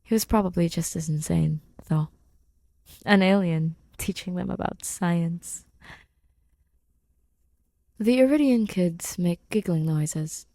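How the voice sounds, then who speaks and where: A woman's voice: lowish voice, slow, vocal fry, long pauses, mellow, dry recording. A woman reading fan fiction aloud as a podfic narrator, in scripted storytelling style.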